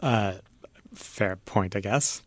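A man's voice: on the low side, slow, dry recording, studio quality. A man working as a podcast host, delivering scripted narration and staged banter.

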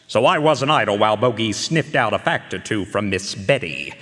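A man's voice: mid-range voice, pushed and bright, husky. A man playing a self-assured private eye, narrating wryly in noir style in a live radio drama. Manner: wry, measured, storytelling. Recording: echoey concert hall with music.

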